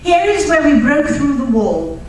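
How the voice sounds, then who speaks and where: A woman's voice: high voice, big pitch swings, a little slow, darkish tone. Woman acting a genteel lady archaeologist, echoey hall. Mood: explanatory. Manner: genteel, polite, earnest. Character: genteel, eager, titled lady archaeologist, proud and apologetic. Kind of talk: old-time radio drama acting on stage. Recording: very echoey hall, distant mic, faint noise.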